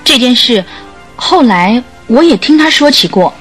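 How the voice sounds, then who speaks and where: A woman's voice: on the high side, animated, a little slow, fairly bright tone, husky, faint music behind, heavily acted. An actress playing a sorrowful, guilt-ridden woman making an earnest confession in a radio drama. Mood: anxious, confiding. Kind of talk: Mandarin radio drama acting.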